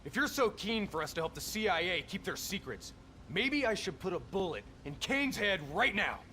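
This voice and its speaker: High-pitched hot-headed soldier. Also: male voice, very animated, normal speed, slight background noise, full character voice. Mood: defiant, scornful. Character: hot-headed, cynical, defiant male soldier. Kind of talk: video game cutscene acting. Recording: loud background music.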